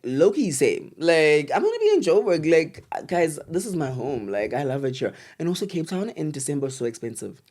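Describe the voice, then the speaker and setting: A young woman with a South African accent talks as a podcast co-host. Her pitch swings widely and her delivery is animated and full of slang. The chat is casual and emphatic.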